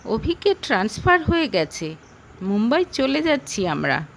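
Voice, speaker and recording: Narrator lady as married woman in romance audio story, very high, emphatic, uneasy, faint noise. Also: big pitch swings, normal speed, creaky. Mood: teasing, firm. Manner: protesting, emphatic. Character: married woman, uneasy, firm with her insistent lover. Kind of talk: dramatic audio story dialogue.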